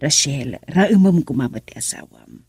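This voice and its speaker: Husky older Ilocano mother. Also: sits low, animated, moderate pace, darkish tone, no echo, studio quality, full character voice. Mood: reproving. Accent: Filipino, Ilocano. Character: older mother, reproving her daughter, defending son-in-law. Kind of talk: Ilocano radio drama acting.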